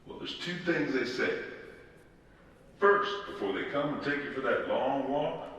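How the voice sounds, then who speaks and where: A man's voice: mid-range voice, expressive, a little slow, a bit bright. An actor playing a creepy death row inmate who needles his neighbour in a folksy, morbidly gleeful way. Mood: morbid taunting. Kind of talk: film drama acting. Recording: distant, echoey, noisy cell room.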